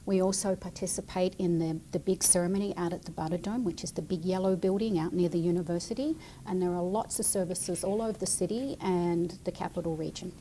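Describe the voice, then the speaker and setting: Lady, soft mellow tone, kinda low, chatting to kids about her job, faint noise in the room. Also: fairly even intonation, a little fast, hardly any pauses, low-quality recording. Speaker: city military liaison and veteran, guest speaker. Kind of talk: explaining her work to children.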